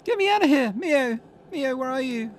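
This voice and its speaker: A man's voice: very high voice, expressive, normal speed, slight background noise, pitched above the performer's own voice. A man voicing an anxious, impulsive teenage schoolgirl who is easily spooked, in a hesitant visual novel read-through. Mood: panicked. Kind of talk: character voice reading a visual novel. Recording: music in the background.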